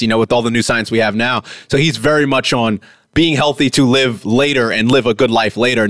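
A man in his late thirties speaks as a podcast host with a medium-pitched voice. He talks casually and loosely, circling back and correcting himself, and the recording is dry with no room sound. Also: a bit bright, no echo. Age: late 30s. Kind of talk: casual conversational talk.

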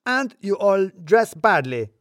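Indignant, wound-up male freelancer, pitched high, dramatic and shouting, for an office comedy audio story. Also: sing-song, takes it slowly, no room sound, studio quality, exaggerated voice. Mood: furious defiance. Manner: shouting, affronted, clipped formal phrasing.

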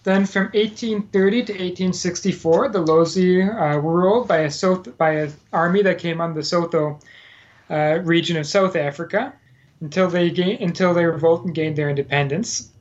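A man's voice: high-pitched, moderate pace. A man in casual podcast conversation, telling about his time as a Peace Corps volunteer, rambling with frequent fillers and self-corrections. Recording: dull lo-fi sound.

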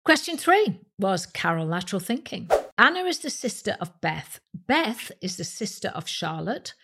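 British woman quiz host, very animated intonation. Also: medium pitch, moderate pace, background noise. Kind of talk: scripted quiz narration addressed to listeners.

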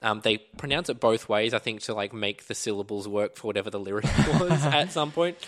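A man, co-hosting a casual film podcast and talking enthusiastically about movies, often trailing off into quieter asides. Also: lowish voice, brisk. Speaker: podcast co-host.

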